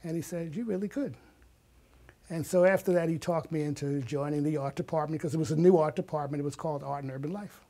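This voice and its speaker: Higher-pitched man in his sixties, reminiscing. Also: fast talker, warm tone. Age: 60s. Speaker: interview guest, artist. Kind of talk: conversational personal reminiscence.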